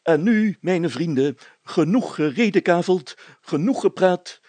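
A man's voice: high voice, animated, a little slow, darkish tone, no echo, voice pushed up. Man putting on a pompous, fussy village mayor. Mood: solemn, commanding. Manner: pompous, stammering, formal wording with self-corrections.

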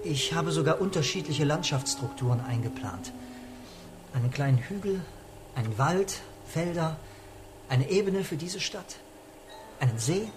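Excitable higher-voiced sceptical scientist. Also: male voice, a little slow, long pauses, noisy background. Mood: eager explaining. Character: excitable sceptical male ecosystem scientist, inventive and thinking aloud. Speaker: actor in radio play. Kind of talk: German radio play acting.

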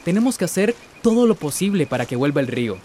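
An actor playing a kindly, earnest young environmental volunteer in a radio drama. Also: male voice, on the high side, sing-song, fast, warm tone. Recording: faint background noise.

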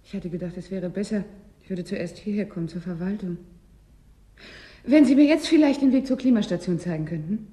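A woman's voice: medium pitch, brisk, mellow, close to an everyday voice. An actress in a radio drama playing a determined, puzzled woman researcher newly arrived at a frozen frontier. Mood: puzzled, insistent. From North Africa (character).

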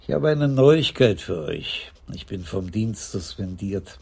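An impish police chief in his sixties for a radio crime drama. His voice is fairly low, gravelly and husky. He talks drily, dodging and teasing, never flustered. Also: lively intonation, takes it slowly, darkish tone, heavily acted. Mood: pleased, brisk. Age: 60s. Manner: dry, evasive, teasing. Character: wily, unflappable, impish aging police commissaris.

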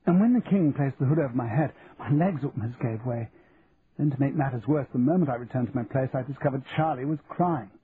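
A man's voice: mid-range voice, fairly quick, a crowd in the background, close to an everyday voice. A man voicing a self-possessed young English woman graduate in an audiobook, direct and teasing. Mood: amused. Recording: muffled narrow-band audio with hiss.

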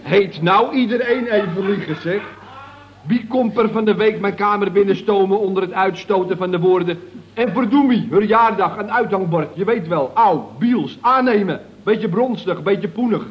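Male actor as slangy, cheeky young workmate spinning tall tales for radio comedy; lightish pitch, old recording with faint noise. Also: dull audio, natural voice. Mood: indignant mimicry. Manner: slangy, drawn-out storytelling with tag words. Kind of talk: radio comedy serial acting.

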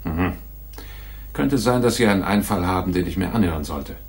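A gravelly, deep-voiced tough guy. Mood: sardonic. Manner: sardonic, wary, deliberate. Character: big tough shady man, skeptical, wry, bargaining. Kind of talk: 1980s German radio play, noir dialogue.

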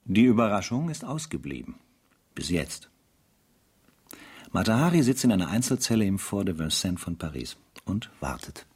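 Grave officer reading his diary, very low husky voice, measured, foreboding, radio drama narration. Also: big pitch swings, darkish tone, exaggerated voice. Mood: solemn, foreboding. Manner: measured, solemn, dramatic pauses.

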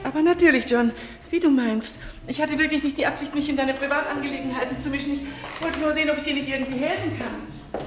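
An actress as a flustered, deferential lady in a radio crime play. Her voice is very high and effusive, heard in an echoing room with music behind. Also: quick pace, lightly acted. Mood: meekly affronted. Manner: effusive, fussy. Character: flustered, deferential female member of the household. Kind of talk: German radio crime drama acting.

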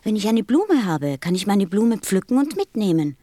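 A woman's voice: medium pitch, big pitch swings, normal speed, dark tone, dry recording. A woman playing a curious, earnest young boy in a radio play, innocent and persistent in manner. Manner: innocent, insistent questioning.

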